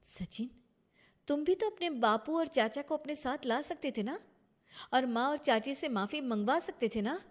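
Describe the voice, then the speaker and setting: A woman's voice: high-pitched, lively intonation, moderate pace, pitched above the performer's own voice. A woman voicing a wronged, defiant young wife and mother in a cartoon moral story, indignant and emphatic. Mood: hurt, reproachful.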